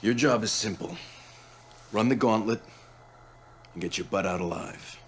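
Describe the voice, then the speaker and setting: An actor playing a tough, sardonic officer and stern older brother in a video game cutscene, gruff and commanding. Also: male voice, on the low side, a little slow, a little hiss or hum.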